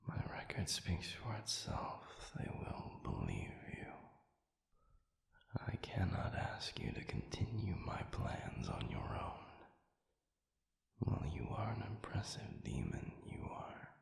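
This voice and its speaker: A man voicing a formal, intimate demon in an audio roleplay, speaking in a measured, breathy, gravelly whisper. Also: sits low, wildly swooping pitch, echoey room, exaggerated voice. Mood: grave, resigned. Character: formal, sadistic male demon with lost memory, tender and curious.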